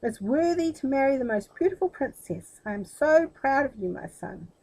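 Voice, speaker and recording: A woman's voice: high voice, big pitch swings, moderate pace, mellow, husky, pitched above the performer's own voice, full character voice, poor audio. A woman voicing an imperious, impatient sultan in a storybook reading, with a grand, commanding manner. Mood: pleased. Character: imperious, impatient sultan, later delighted by riches.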